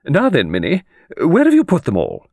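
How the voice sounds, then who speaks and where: Wanted: bossy, well-to-do elderly lady, played by a man, high-pitched, brisk and commanding, for an audiobook. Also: huge pitch swings, vocal fry, a little mellow, no room sound, clean studio sound, heavily acted. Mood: brisk, imperious. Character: autocratic, sharp-tongued, brisk old lady of means. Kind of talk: audiobook character voice.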